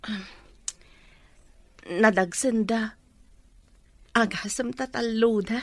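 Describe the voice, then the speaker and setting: Woman acting a high-voiced, humble young mother-to-be. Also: steady tone, takes it slowly, no echo. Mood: shy, light. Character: humble, sorrowful young pregnant woman from poverty. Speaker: voice actor in an audio drama. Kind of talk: Filipino radio-drama acting in Ilocano.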